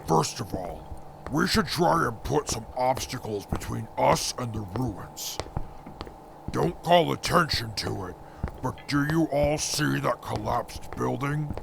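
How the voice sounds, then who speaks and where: A man's voice: mid-range voice, expressive, slow, heavily acted. A man playing a stern, wary scout in a post-apocalyptic audio drama, with clipped, formal and cautious phrasing. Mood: wary, grave. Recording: clear background ambient noise.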